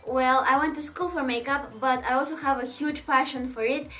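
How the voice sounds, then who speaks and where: High-voiced woman with a Bulgarian accent. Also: steady tone, normal speed, bright, forceful tone. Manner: chatty, reads viewer questions aloud, laughs often. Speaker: makeup vlogger. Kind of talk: casual Q&A chat.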